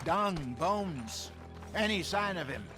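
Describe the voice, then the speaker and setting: An actor playing a weathered, wry old outlaw hunter in a video game, with an American accent and a folksy, reflective way of talking. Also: male voice, high voice, expressive, over music. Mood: calm, encouraging. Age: older. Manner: measured, folksy, wistful storytelling. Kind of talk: video game dramatic acting. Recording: game audio with loud outdoor noise.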